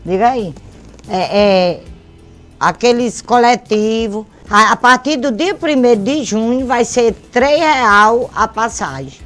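An older woman drawls out her opinions very slowly at a studio desk microphone. Her voice sits fairly high and she laughs easily between lines. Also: lively intonation, a bit bright, soft background music. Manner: slow, drawling, laughs often. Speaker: comedy news show co-host. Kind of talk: comic news banter. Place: small TV studio set.

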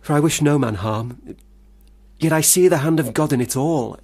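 Husky, earnest young poet. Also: male voice, fairly high pitch, moderate pace, darkish tone. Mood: defensive. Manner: formal, earnest literary phrasing. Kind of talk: radio play acting.

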